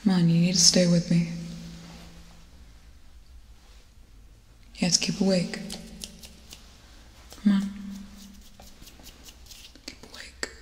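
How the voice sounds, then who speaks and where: A young woman playing a tough, urgent spaceship officer in a terse, hushed ASMR roleplay. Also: fairly low pitch, flat delivery, measured pace, long pauses, lightly acted. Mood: urgent, worried. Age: young adult. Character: tough, urgent female spaceship officer tending a wounded crewmate. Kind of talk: ASMR film roleplay, soft-spoken to whispering. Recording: echoey reverberant hall, music behind.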